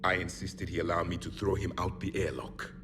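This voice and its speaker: Haughty alien warrior for a game, played by a man: very low, stern, slightly distant, music behind. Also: level tone, fairly quick, noisy background, close to an everyday voice. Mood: haughty, irritated. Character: haughty ancient male alien warrior, curt and disdainful. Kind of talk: video game voice acting.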